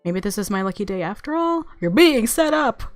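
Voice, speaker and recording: A woman's voice: mid-range voice, sing-song, brisk. A woman reading a visual novel aloud as a gamer, narrating expressively and adding her own playful reactions. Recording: background music under voice.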